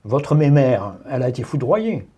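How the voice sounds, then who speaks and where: A man's voice: mid-range voice, big pitch swings, moderate pace, mellow, breathy edge, muffled recording. A male radio co-host asking a guest curious, emphatic questions. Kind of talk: radio interview.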